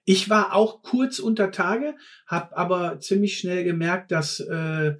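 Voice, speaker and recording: A man's voice: high voice, slow pace, a bit bright. Blunt man in his fifties. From Ruhr area, Germany. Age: 50s. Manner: blunt, repeats words while searching. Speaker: former mine fitter, guest. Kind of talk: casual conversation.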